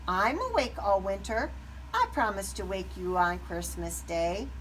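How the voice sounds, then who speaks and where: A woman's voice: medium pitch, lively intonation, measured pace, fairly bright tone, slight background noise, rough recording. A woman voicing a merry, eager little male cardinal who chirps and calls out in a children's storybook. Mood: merry, helpful. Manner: chirping, calling out in singsong. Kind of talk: children's storybook read-aloud.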